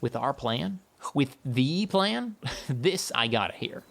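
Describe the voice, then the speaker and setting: A breezy uncle with a taste for adventure , as a woman narrator plays him. He talks in flamboyant, mock-grand flourishes, swinging widely in pitch with a creaky edge. The delivery suits a playful storybook. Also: sing-song, slow, heavily acted. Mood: breezy, enthusiastic. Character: jaunty, theatrical uncle, a carefree traveling adventurer.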